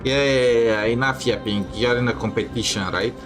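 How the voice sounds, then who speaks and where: A man's voice: medium pitch, steady tone, fairly quick. A man giving casual, offhand gameplay commentary, half talking to himself. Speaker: game streamer. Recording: game music behind voice. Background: game music.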